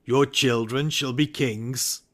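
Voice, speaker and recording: A man playing a commanding, ambitious warrior lord in a dramatic Shakespeare reading, speaking deliberately. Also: medium pitch, steady tone, fairly bright tone. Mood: intrigued.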